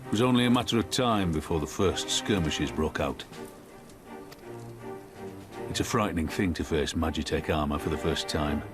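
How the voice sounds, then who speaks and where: A man's voice: very deep voice, moderate pace, pauses often, over music. A man playing a weary, plainspoken veteran soldier in a video game cutscene, speaking wistfully. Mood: wistful, reflective.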